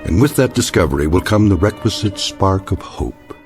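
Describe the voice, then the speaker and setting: The grave narrator of an eerie radio tale, with a very deep voice that rises and falls widely. He is solemn and deliberate, with music playing clearly behind him. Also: expressive, a little mellow. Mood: solemn, ominous. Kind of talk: scripted radio drama narration.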